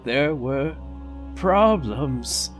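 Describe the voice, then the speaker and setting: A dying old park founder grieves in a fan audio drama, played by a man. His words come out slowly and haltingly, each phrase drawn out. Music plays softly behind him. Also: medium pitch, lively intonation. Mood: grieving. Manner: slow, faltering, weak.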